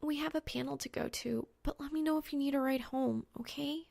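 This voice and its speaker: A woman voicing a gentle, worried young woman in an audiobook, speaking in a soft, hushed, concerned way. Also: sits high, steady tone, brisk, creaky, dry recording, voice pushed up. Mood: gentle worry. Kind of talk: audiobook character dialogue.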